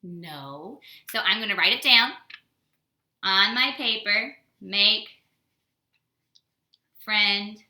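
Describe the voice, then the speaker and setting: An American woman speaks as a teacher to young children, with a very bright, forward voice. Her style is a casual storytime lesson, animated and simply worded, with questions put to the listener. The recording is echoey, like a reflective room at home. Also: medium pitch, slow pace, long pauses. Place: indoors at home.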